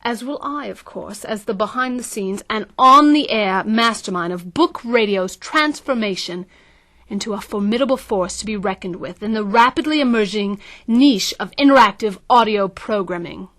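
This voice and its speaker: A woman's voice: mid-range voice, expressive, normal speed, fairly bright tone. A woman playing an ambitious, scheming marketing consultant in an audio drama, self-assured and coaxing. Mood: smug, pitching.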